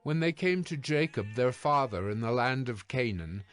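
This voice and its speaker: A man narrating scripture from a script in a measured, solemn manner. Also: mid-range voice, animated, a bit bright. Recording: music behind the voice.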